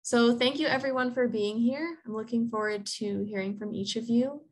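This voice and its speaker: A woman's voice: a little high, fairly even intonation, moderate pace, slight background noise. Woman, 30s, program coordinator; organized moderator, earphone mic, video call. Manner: clear, organized, with frequent "um".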